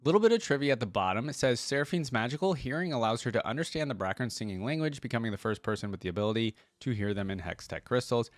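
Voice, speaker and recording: A man hosting a reaction video, reading aloud and adding casual, conversational commentary as he thinks out loud. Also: mid-range voice, animated, fast talker, few pauses, no echo.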